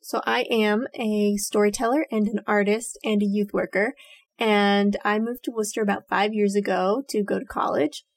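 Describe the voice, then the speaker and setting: A woman's voice: mid-range voice, steady tone, normal speed. A young adult woman co-hosting a youth podcast, earnest and upbeat. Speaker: podcast co-host, storyteller.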